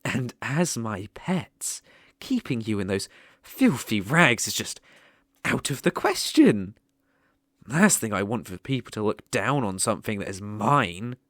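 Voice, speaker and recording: Slow, swooping British demon ruler, acted out for ASMR. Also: medium pitch, very animated, fairly bright tone, no room sound, studio quality. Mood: possessive, commanding. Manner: slow, mocking, haughty, theatrical. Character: sadistic, possessive demon ruler toying with a human. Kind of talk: ASMR roleplay, scripted monologue.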